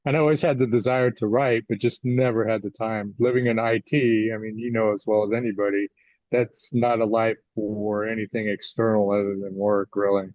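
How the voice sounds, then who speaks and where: An American man in his sixties speaking conversationally as an interview guest, often pausing mid-thought. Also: lowish voice, steady tone, no echo. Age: 60s. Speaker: guest author. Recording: slightly dull, lo-fi audio.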